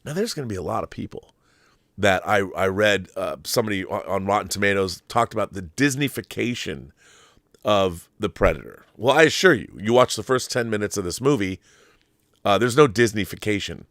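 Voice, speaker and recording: A man's voice: fairly low pitch, lively intonation, a little fast, fairly bright tone, dry recording, clean studio sound. A man hosting a film commentary show, talking in a casual, opinionated monologue with an effusive, emphatic, rambling manner and some swearing.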